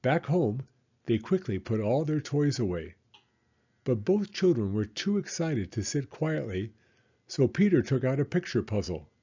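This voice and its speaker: A man reading a children's storybook as an expressive, dramatic narrator. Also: medium pitch, measured pace, husky, muffled recording.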